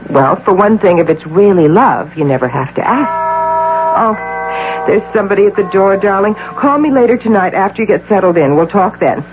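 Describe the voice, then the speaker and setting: An actress playing a poised, guarded middle-aged advertising executive in a radio drama, composed and arch, with an American accent. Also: female voice, mid-range voice, expressive, normal speed, close to an everyday voice. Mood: fond, motherly. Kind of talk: old-time radio drama acting. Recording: dull hissy radio drama recording with hum.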